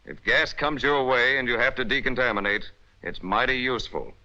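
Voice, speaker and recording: A man's voice: mid-range voice, a little fast, sharp tone. An American man narrating a 1940s military instructional film in an emphatic, instructional manner with clipped directives. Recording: dull, band-limited 1940s film soundtrack.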